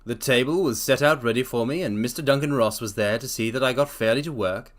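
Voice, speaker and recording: A man's voice: medium pitch, a little fast, lightly acted. A man voicing an earnest middle-aged shopkeeper who tells his own story plainly.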